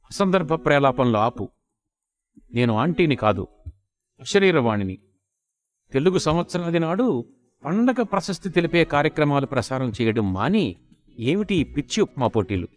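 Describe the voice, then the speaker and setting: A man's voice: a little high, very animated, normal speed, long pauses. A man voicing a stern female voice from the heavens, grave and declamatory in formal literary Telugu. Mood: scolding. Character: stern, scolding disembodied female celestial voice.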